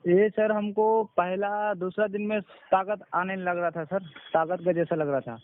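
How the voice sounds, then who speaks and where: A man speaks over a tinny, dry phone connection. His voice sits a little high. He talks informally as a patient calling in, very deferential and given to repeating himself. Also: steady tone, unhurried, dry recording. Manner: deferential, constantly says sir, repeats himself. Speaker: caller, patient giving a testimonial. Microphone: telephone. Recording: narrowband phone call recording.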